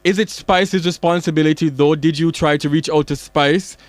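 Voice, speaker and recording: A man hosting a live radio interview, calmly summarizing and steering the guest with questions. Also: a little high, normal speed, no echo. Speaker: radio show host. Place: radio studio.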